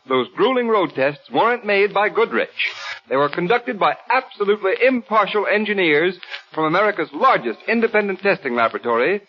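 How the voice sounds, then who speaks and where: A man's voice: high voice, a little hiss or hum. A male announcer reading a sponsor's advertisement in an emphatic, declamatory 1930s radio style. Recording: dull 1930s radio recording.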